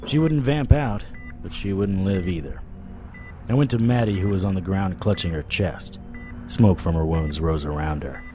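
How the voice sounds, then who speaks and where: A man's voice: low-pitched, moderate pace, background noise, lightly acted. An actor playing a sardonic vampire-hunting private eye, telling his story in a dramatic noir audio drama style, over music. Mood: grim, urgent. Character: hard-boiled, wisecracking male vampire-hunting private eye. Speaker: narrator and lead actor. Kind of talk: first-person noir audio drama narration. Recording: music bed under the voice.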